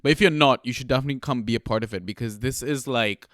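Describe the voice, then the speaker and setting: Young man from trinidad, podcast co-host, loose chatty delivery, smooth voice, dry close mic. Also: mid-range voice, quick pace, a bit bright, dry recording. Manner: laid-back, swears freely, lots of fillers. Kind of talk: casual chat, storytelling.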